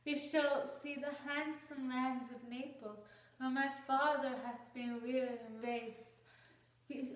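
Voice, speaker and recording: A woman's voice: sits high, a bit bright. A woman playing a young romantic wife in an earnest, declamatory Shakespearean-style stage soliloquy. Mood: wondering, excited. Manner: declamatory, earnest, archaic phrasing. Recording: roomy, distant microphone.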